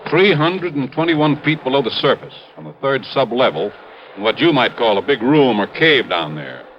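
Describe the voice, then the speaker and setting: A male actor plays an earnest, middle-aged American mine boss from 1950s radio drama. His mid-pitched voice moves animatedly as he explains with troubled emphasis. The old recording is dull and faintly hissy. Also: big pitch swings, normal speed, lightly acted. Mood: matter-of-fact, explanatory.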